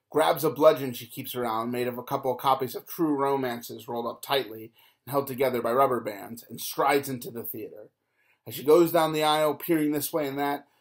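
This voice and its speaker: A man reading an audiobook aloud in a measured narrating voice, with emphatic lifts. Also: medium pitch. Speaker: audiobook reader.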